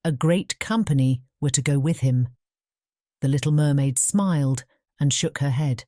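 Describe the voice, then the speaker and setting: A woman with a British accent reading a fairy tale as a measured audiobook narrator. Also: deep voice, mellow, no echo, studio quality. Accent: British English.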